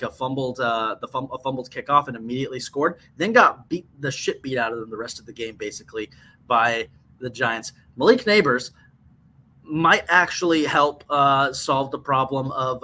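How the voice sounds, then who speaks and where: A man's voice: medium pitch, expressive, moderate pace, bright, forceful tone. A man hosting a sports podcast in casual banter, blunt and freely swearing. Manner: profane, blunt.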